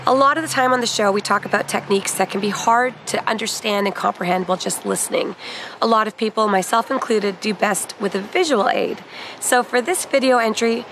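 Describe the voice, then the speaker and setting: Hoarse woman hosting a fishing vlog. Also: mid-range voice, brisk, fairly bright tone, a little hiss or hum. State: hoarse after illness. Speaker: podcast host. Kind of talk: vlog introduction to camera. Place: outdoors in a garden.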